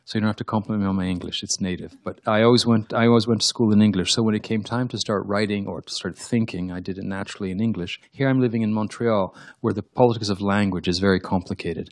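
A man's voice: lowish voice, no room sound. A Quebec-born novelist with French as his first language, talking thoughtfully in a radio interview with frequent restarts. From Quebec, Canada. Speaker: novelist guest.

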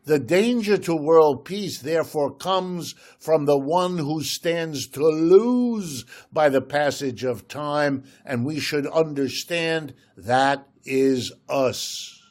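An older man, a professor, speaking in a slow, measured, lecturing way in a radio interview. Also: a little high, a little mellow. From United States, Ohio. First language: German. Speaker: guest economist.

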